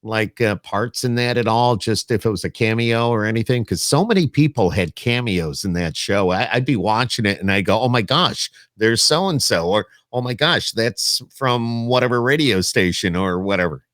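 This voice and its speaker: A man's voice: fairly low pitch, normal speed, hardly any pauses, a bit bright, no echo, clean studio sound. A man hosting a podcast, chatting casually as he interviews guests over a remote call. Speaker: podcast host.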